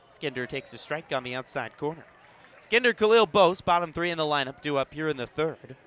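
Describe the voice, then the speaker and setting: Give the voice of a male radio baseball announcer with a fairly high pitch. He calls play-by-play live from an outdoor ballpark, keeping a measured narration and punching up the key calls. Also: bright, forceful tone, a little hiss or hum.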